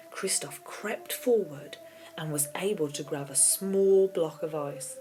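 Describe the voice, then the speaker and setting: Woman, lowish pitch, children's audiobook reader, storybook narration, keyboard music in the background. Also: rough recording.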